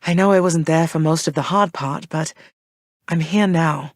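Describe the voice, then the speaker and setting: A woman voicing a gentle, thoughtful goddess queen and mother for a video game, speaking in a soft, measured, slightly formal way. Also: low-pitched, dry recording, studio quality. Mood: tender. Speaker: voice actress for a video game character. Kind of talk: recorded game voice lines.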